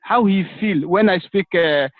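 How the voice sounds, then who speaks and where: A man's voice: sits high, a little slow, no echo. A man in a casual online group chat, speaking earnestly with many "you know" fillers. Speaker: chat participant. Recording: dry tinny phone-like call audio.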